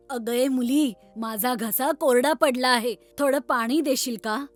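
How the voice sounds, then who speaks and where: A woman puts on a high-voiced old woman for a children's cartoon, with music behind. She is parched and pleading, her throat dry and prone to coughs. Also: animated, slow pace. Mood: pleading, grateful. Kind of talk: children's cartoon story dialogue.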